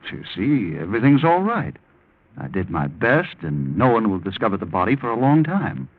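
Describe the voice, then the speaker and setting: An actor playing a cocky, scheming young blackmailer in a glib, sneering 1940s radio drama style. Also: male voice, fairly low pitch, sing-song, normal speed, no room sound. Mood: smug. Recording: muffled old 1940s radio recording.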